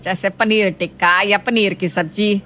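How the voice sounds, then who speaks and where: A woman voicing a homely middle-aged mother-in-law who coaxes and gently scolds in a family story, with faint noise behind. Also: mid-range voice, steady tone, a little slow. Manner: coaxing, explanatory.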